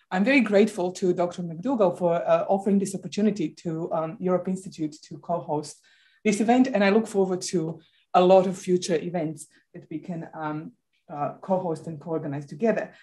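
A middle-aged woman from Croatia with a Croatian accent, giving earnest, measured closing remarks in a university lecture room, recorded by a distant camera. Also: mid-range voice, warm tone. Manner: earnest, measured, some hesitations. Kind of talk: formal closing address. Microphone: far camera, standing at lectern.

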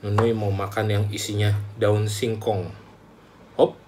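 A man's voice: fairly low pitch, unhurried, very noisy. Chatty young man reviewing food. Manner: chatty, animated, calls himself by name. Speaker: food vlogger. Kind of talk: casual food review to camera. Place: small room indoors, plain wall.

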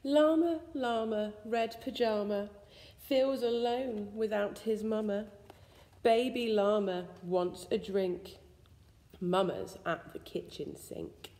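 A woman reads a rhyming children's picture book aloud in an expressive, sing-song storytelling way. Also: mid-range voice, takes it slowly. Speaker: school staff member reading a bedtime story. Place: school room with suspended ceiling, indoors. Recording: echoey indoor room with long reverb.

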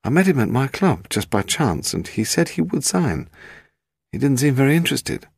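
A man's voice: mid-range voice, big pitch swings, moderate pace, gravelly, a little mellow, husky, no room sound, studio quality, voice pushed up, heavily acted. A man voicing a chatty, slightly flustered book publisher in an audiobook.

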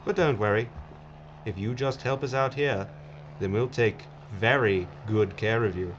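An actor playing a smarmy, self-satisfied corporate boss with a glib, patronizing manner in an audio drama. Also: male voice, lowish voice, a little slow, lightly acted. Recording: dull, noisy audio.

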